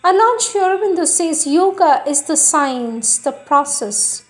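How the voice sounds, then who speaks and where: A woman reading spiritual texts aloud in a solemn, measured, deliberate devotional manner. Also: high voice, lively intonation.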